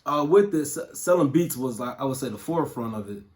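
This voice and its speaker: Relaxed man in his thirties. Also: mid-range voice, fairly quick. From Toledo, Ohio. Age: 30s. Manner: relaxed, loose talk with fillers and slang. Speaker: music producer. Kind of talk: casual interview answers.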